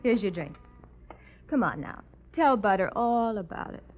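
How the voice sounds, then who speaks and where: A woman's voice: mid-range voice, lively intonation, unhurried, close to an everyday voice. Actress as lilting, sardonic radio-noir girlfriend. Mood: dry, sardonic. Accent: American. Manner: teasing, dry wisecracks. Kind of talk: 1940s-50s radio drama acting. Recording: muffled, noisy vintage radio recording.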